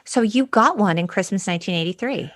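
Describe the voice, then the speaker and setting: A woman's voice: mid-range voice, expressive, brisk, no echo. Female co-host, forties, mid-range voice in a dry studio-like sound, casual and emphatic conversation. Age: 40s. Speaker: podcast co-host. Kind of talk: casual chat with a friend, some scripted intro.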